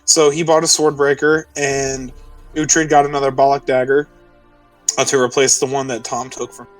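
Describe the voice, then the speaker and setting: A man's voice: mid-range voice, flat delivery, moderate pace, far from the mic, low-quality recording. A man playing a tabletop roleplaying game, casually recapping the session and checking details with the others as he goes. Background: music.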